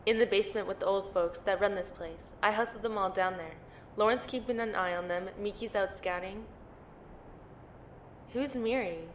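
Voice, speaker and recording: A woman's voice: medium pitch, normal speed, noisy background, close to an everyday voice. A woman playing a tough, no-nonsense lieutenant in an amateur audio drama, blunt and matter-of-fact. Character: tough, no-nonsense female lieutenant in powered armor. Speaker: voice actor playing a military lieutenant.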